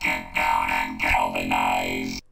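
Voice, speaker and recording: A man's voice: extremely low pitch, monotone, takes it slowly, pushed and bright. A man's vocal sample turned into a gritty, hollow alien robot voice with vocoder, phaser and distortion. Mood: robotic, commanding. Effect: vocoder robot filter with phaser and distortion. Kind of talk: chanted vocal sample for drum and bass. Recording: heavily processed sample over electronic music.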